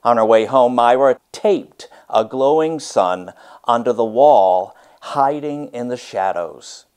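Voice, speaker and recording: A man teaching and reading a storybook aloud with deliberate, emphatic, expressive phrasing. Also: medium pitch, clean tone.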